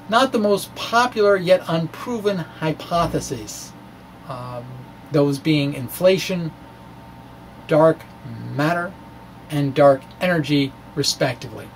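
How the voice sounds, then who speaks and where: A man giving a dramatic, halting reading of his physics blog, with self-deprecating off-script asides. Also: on the high side, slight background noise, rough recording. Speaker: physics blogger presenting his own talk.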